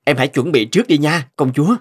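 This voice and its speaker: A man's voice: on the high side, fast, close to an everyday voice. A man voicing a suited husband and company boss in a cartoon, affectionate but prone to suspicion. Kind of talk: Vietnamese cartoon dubbing. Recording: music under the voice.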